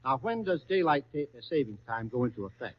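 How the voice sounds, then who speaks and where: A man's voice: medium pitch, sing-song, fairly quick. A man hosting an old radio quiz, coaxing contestants along with repeated questions and exclamations. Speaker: quiz show host. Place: radio studio before an audience. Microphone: stage broadcast microphone. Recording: muffled, lo-fi 1939 radio broadcast.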